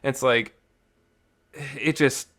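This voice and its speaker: A man's voice: mid-range voice, fairly even intonation, drawn-out words, background noise. A man hosting a casual, unscripted podcast-style review, speaking colloquially and emphatically with some mild swearing, in a reverberant makeshift home recording. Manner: colloquial, mildly profane, emphatic. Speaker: host of a visual novel review channel.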